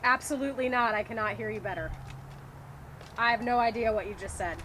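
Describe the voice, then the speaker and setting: A woman's voice: on the high side, brisk, very noisy. A woman helping with a casual walkie-talkie test outdoors, speaking in short exchanges. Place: outdoors by a pond.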